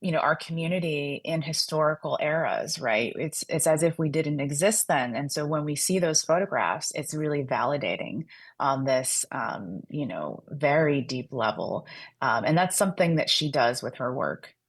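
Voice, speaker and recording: A woman's voice: low-pitched, lively intonation, moderate pace, breathy edge. A woman author being interviewed on radio, explaining her subject fluently and adding a questioning "right?" to her points. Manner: fluent and explanatory, often adds a tag "right?". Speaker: author and guest.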